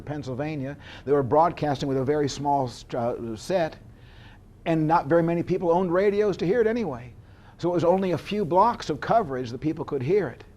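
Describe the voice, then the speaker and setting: American man, instructor, voice a little high, informal lecture delivery with deliberate storytelling. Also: darkish tone. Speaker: history instructor.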